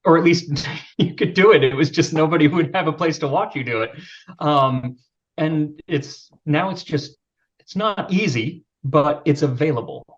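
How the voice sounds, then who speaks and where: A man with a youthful-sounding voice talks as a podcast guest. His speech is relaxed and self-deprecating, with plenty of fillers. The recording sounds a little dull and lo-fi. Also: fairly high pitch, moderate pace. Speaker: podcast guest, video creator. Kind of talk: casual interview chat.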